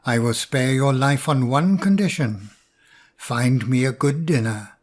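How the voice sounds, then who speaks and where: A man's voice: medium pitch, unhurried, natural voice. A man voicing a greedy, hot-tempered male bear in a storybook reading, blunt and demanding.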